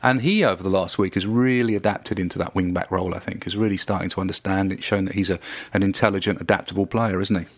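A man, co-hosting a football podcast. He makes his points in a measured, deliberate way, with hesitant fillers. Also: sits low, fairly even intonation, dry recording. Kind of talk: casual chat.

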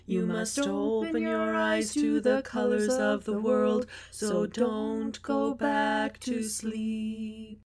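Slow, high woman singing over loud music. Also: fairly even intonation. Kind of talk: singing a gentle lullaby-like song.

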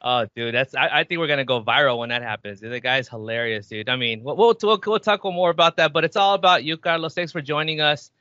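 Chill guy hosting a podcast, slightly high bright voice, dry muffled sound. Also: brisk, hardly any pauses, no room sound. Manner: laid-back, many restarts. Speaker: podcast host. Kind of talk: casual chat.

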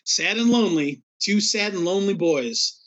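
Rambling New podcast host, muffled. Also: male voice, high-pitched, expressive, moderate pace, fairly bright tone, dry recording. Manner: laid-back, rambling with many fillers. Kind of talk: casual hockey podcast chat.

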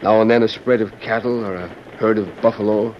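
A man's voice: fairly low pitch, steady tone, a little fast, lightly acted. A man playing a hearty, complacent frontier-era president in a radio drama, with a jovial, teasing, speechifying manner. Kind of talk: old-time radio acting. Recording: muffled, noisy vintage radio recording.

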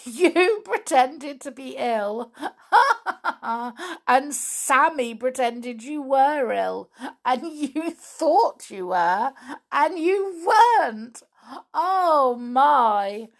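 A woman voicing a kind, gentle young male rabbit who talks softly and earnestly. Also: a little high, expressive, slow pace, exaggerated voice. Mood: giggling. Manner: giggly, soft and earnest.

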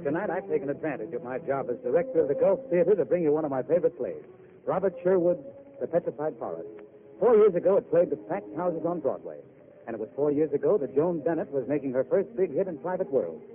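Old-time radio host guy, polished and earnest, tinny sound w a bit of hiss. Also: on the high side. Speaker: radio drama host and director. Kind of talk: formal 1940s radio introduction.

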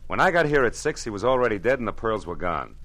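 An American actor playing a tough, wisecracking private detective in a 1940s radio noir, slangy and sardonic. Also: male voice, fairly low pitch, sing-song, fast, a bit bright, low-quality recording. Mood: hard, accusing.

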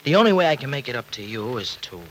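An actor in a vintage American radio drama playing a rough-edged, soft-hearted regular guy with a slangy, hesitant American way of talking. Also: mid-range voice, big pitch swings, quick pace. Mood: earnest, regretful. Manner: slangy, hesitant, plain-spoken. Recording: noisy old radio show recording.

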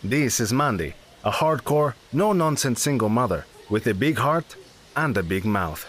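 A man's voice: mid-range voice, animated, moderate pace, natural voice. A man narrating a comedy audio drama in a dry, matter-of-fact way. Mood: wry.

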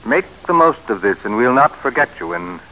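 Forceful, scheming American politician. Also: male voice, medium pitch, big pitch swings, normal speed, vocal fry, full character voice, rough recording. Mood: conspiratorial, coaxing. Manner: insistent, persuasive. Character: pushy, scheming male American politician, urgent persuader. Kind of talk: old-time American radio drama acting. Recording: hissy vintage radio broadcast recording.

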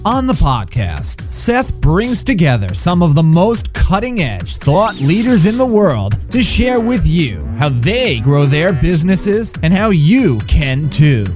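A man's voice: a little high, sing-song, slow pace, few pauses, darkish tone. A man reading a scripted podcast intro in an upbeat announcer style. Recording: clear music bed behind.

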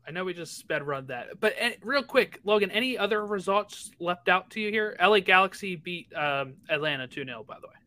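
A man co-hosting a casual sports podcast, rattling quickly through a list of match results. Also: high voice, expressive, sharp tone. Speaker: podcast co-host.

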